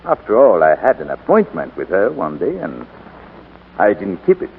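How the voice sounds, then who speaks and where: An actor playing a suave, teasing gentleman in a 1940s romantic radio drama. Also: deep voice, wildly swooping pitch, moderate pace, exaggerated voice. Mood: playful, courteous. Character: suave, teasing gentleman visiting an old love. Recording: scratchy, tinny 1940s radio broadcast.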